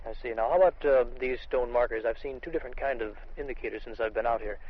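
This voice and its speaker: A man's voice: medium pitch, fast. An American man working as an army radio reporter, asking conversational, hesitant questions in an interview outdoors on location. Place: outdoors in the field. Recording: dull lo-fi vintage field radio recording.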